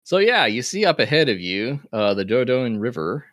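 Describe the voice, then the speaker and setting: A man running a tabletop game playtest as game master, talking in a relaxed, rambling way with fillers and laughs. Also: medium pitch, moderate pace, no echo, clean studio sound.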